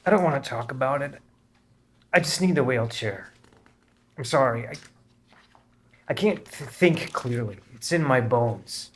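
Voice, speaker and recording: Man reading a frail sick man, slow, halting, hesitant. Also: mid-range voice, lively intonation, a little hiss or hum, natural voice. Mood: troubled. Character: sick, frail man, an out-of-work actor, ashamed. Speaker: actor in a table read. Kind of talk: screenplay table read.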